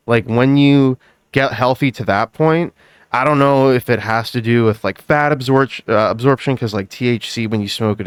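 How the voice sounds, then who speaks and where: A man's voice: mid-range voice, clear, resonant tone, dry recording. A man in his late twenties, a podcast co-host, talking in a loose, rambling way with lots of "like". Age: 20s. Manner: loose, rambling, heavy use of "like". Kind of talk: casual chat.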